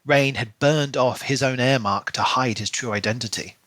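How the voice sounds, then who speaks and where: A man's voice: medium pitch, normal speed, a bit bright, dry recording. A man co-hosting a book podcast, recapping and discussing a novel. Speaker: podcast co-host. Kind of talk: book discussion podcast, reading a plot recap then casual chat.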